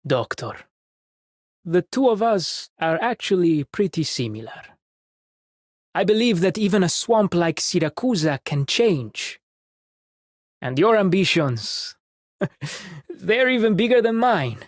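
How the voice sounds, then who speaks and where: An actress playing a composed, ambitious and idealistic reformer in a game. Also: female voice, fairly low pitch, sing-song, slow pace, pauses often, dry recording, clean studio sound, heavily acted. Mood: resolute. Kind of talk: scripted game character line.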